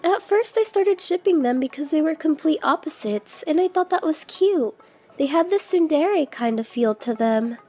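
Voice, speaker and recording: A woman voicing a cute, earnest young woman in an animated roleplay series, sentimental and obsessed with matchmaking her friends. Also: high-pitched, moderate pace. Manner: earnest, wistful, sentimental confession. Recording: music behind the voice.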